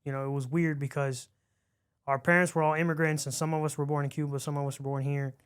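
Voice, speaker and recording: A man's voice: a little high, flat delivery, fast, smooth. A man from Miami hosting an interview, chatty and curious. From grew up in Miami among Cuban families. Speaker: podcast host. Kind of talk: casual interview questions.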